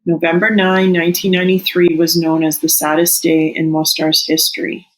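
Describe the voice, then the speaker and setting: Brief: woman, 40s, Canadian-born, Serbo-Croatian first language, slightly low husky voice, earnest reading. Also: flat delivery, normal speed, rough recording. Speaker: teacher sharing a personal reflection. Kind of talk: read personal reflection.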